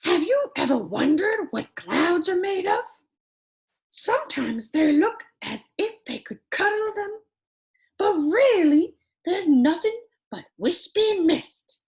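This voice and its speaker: A woman's voice: sits high, heavily acted. A woman voicing an eager childlike puppet friend who reads a picture book to children in an exaggerated, wondering manner. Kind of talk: animated children's storybook read-aloud.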